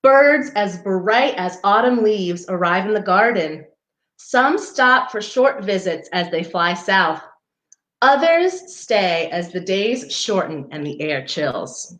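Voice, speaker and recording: A woman reads a picture book to children at an unhurried pace. Her mid-pitched voice rises and falls expressively, and she slips into chatty asides to her young listeners. The sound is roomy and echoing. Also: expressive, a bit bright. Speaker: storybook reader for a parks program.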